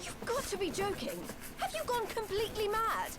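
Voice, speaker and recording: Game role: outspoken idealistic girl. High, animated voice, blunt and indignant. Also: normal speed. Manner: blunt, accusing. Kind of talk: video game cutscene voice acting.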